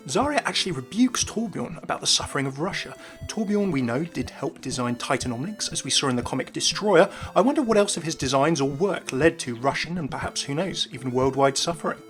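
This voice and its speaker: A man narrates scripted game commentary. His voice is a little high for a man and moves quickly. Faint music plays behind the voice-over. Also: lively intonation, fairly bright tone. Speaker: YouTube game lore presenter.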